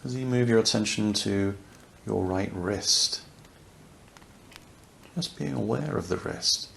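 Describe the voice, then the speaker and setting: A man leading a guided yoga nidra relaxation, speaking softly and soothingly, unhurried, with long pauses. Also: fairly low pitch, gravelly. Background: crackling fireplace ambience.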